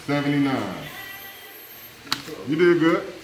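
A middle-aged man with a fairly high, very animated voice chats playfully. He hosts casually, repeating his phrases. The room is echoey, with people talking behind him. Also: slow, over music. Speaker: barber hosting a giveaway. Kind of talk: casual vlog chat with customers. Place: barbershop, indoors. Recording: echoey room with shop chatter.

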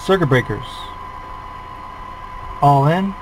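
A man's voice: on the high side, expressive, slow. Man, forties, flight instructor, clipped checklist callouts, headset mic, faint hum. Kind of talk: reciting a cockpit checklist. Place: small aircraft cockpit.

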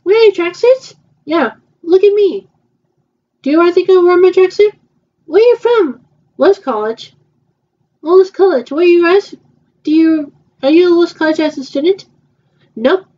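A young woman in her twenties, improvising a roleplay dialogue in a hesitant, halting way, with many repeats and fillers. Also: very high-pitched, lively intonation, warm tone. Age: 20s. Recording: muffled lo-fi home recording.

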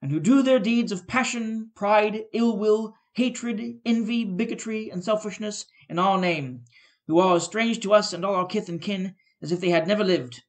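Reader doing a big loud christmas ghost, hearty, kind but stern, storybook reading. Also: mid-range voice, moderate pace, close to an everyday voice. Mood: solemn. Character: giant hearty male Christmas spirit, kindly but stern.

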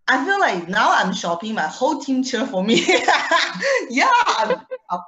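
A woman's voice: high-pitched, very animated, a little slow, pushed and bright. Chatty young woman with a non-Singaporean accent. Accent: foreign, not Singaporean. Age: young adult. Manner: chatty, laughs often, restarts words. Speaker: guest speaker. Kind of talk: personal testimonial.

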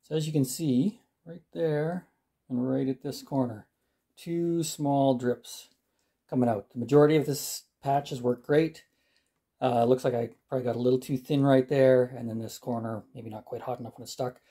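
A middle-aged man walks through a repair step by step. His voice is mellow and a little husky, with an easy, unhurried delivery. He sounds like he is chatting while his hands stay busy. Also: medium pitch. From Canada. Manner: relaxed, plain-spoken, talks while working. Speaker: DIY channel host. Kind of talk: hands-on how-to demonstration. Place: garage workshop.

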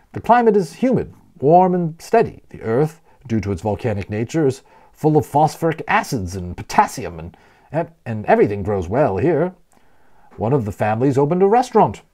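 A man reading a children's novel aloud as a storyteller, expressively and with deliberate emphasis on key words. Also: mid-range voice, warm tone. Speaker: audiobook narrator and storyteller.

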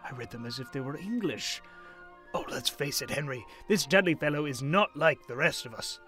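A man playing a genial, excitable elderly professor in an audio drama, effusive and stammering with delight. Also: mid-range voice, moderate pace, faint music behind. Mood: awed.

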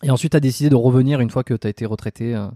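A man hosts a relaxed interview chat, full of fillers and restarts. His voice is mellow and dark, close on a boom mic in a dry home room. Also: very fast, no room sound. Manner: hesitant, many fillers and restarts. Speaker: podcast host, osteopath. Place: home room with lamp, video call. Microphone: studio mic on boom arm, close.

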